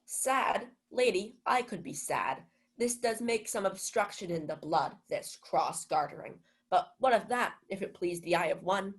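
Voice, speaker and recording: A woman's voice: mid-range voice, expressive, unhurried, few pauses, breathy edge. Smug, lovesick manservant played by a woman, grandly declaiming Shakespeare for radio. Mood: smitten, smug. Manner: pompous, declamatory. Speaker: student actor. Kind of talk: Shakespeare in 1940s radio play style.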